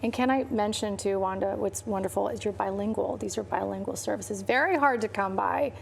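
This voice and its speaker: A woman hosting a podcast interview in a casual, enthusiastic way, quick to laugh and praise her guest. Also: mid-range voice, vocal fry, slight background noise. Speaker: podcast host.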